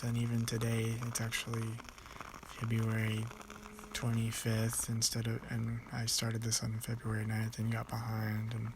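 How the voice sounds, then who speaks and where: A man hosting an ASMR vlog, talking to viewers in a casual, reflective way. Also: mid-range voice. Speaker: host of a daily ASMR video series. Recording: clearly noisy background.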